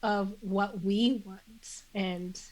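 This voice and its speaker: A woman guest with a congested voice, speaking earnestly and in measured phrases, with many fillers. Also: medium pitch, steady tone, darkish tone, poor audio. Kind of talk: reflective conversational interview.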